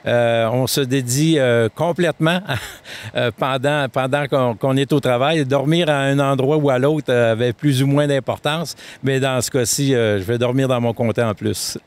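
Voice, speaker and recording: A Québécois man answers interview questions as a political candidate. He speaks at a middle pitch in a measured way. He often pauses with hesitations. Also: steady tone. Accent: Québécois French.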